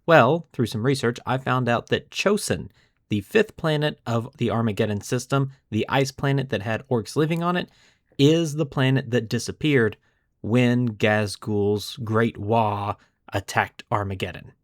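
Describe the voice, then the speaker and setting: A man hosting a lore podcast, telling the story in a deliberate, semi-scripted narrative style with dramatic pauses. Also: mid-range voice, no echo, studio quality. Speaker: podcast host.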